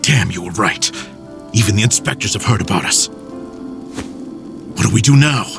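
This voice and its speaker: An actor playing an excitable, irreverent male fugitive sidekick in a fantasy video game, with expressive, colloquial delivery. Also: on the low side, measured pace, vocal fry, over music. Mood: anxious, alarmed. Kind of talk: dramatic video game voice acting.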